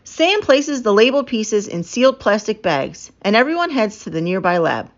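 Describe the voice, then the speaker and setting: Woman, children's read-aloud narrator, very lively intonation, emphatic key words, slightly dull lo-fi recording. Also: mid-range voice, normal speed. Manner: animated, emphatic storytelling with stretched key words.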